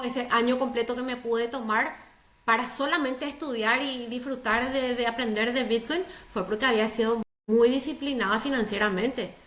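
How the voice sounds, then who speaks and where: A woman's voice: a little high, fairly bright tone, echoey room. A middle-aged woman, speaking as a guest in a conversational interview, earnest and emphatic, repeating words for stress. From Paraguay. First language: Spanish.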